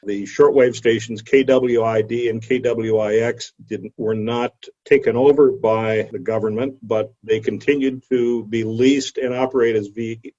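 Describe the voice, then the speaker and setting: Mellow-voiced man lecturing over video call. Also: medium pitch. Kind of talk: illustrated history lecture. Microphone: video call microphone. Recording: dull video call audio.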